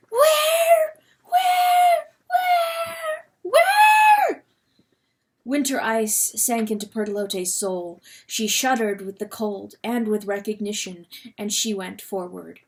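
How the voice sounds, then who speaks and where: A woman reading a novel aloud as dramatic narration, expressive and full of suspense. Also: medium pitch. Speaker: audiobook reader.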